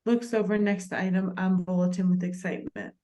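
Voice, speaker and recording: A woman's voice: fairly low pitch, steady tone, brisk, dark tone. A woman narrating short stage directions from a script at a table read.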